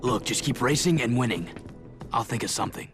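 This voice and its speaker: An actor playing a gruff, determined young hero racer in a video game, terse and resolute. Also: male voice, mid-range voice, expressive, a little fast, music in the background, lightly acted. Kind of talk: video game cutscene acting.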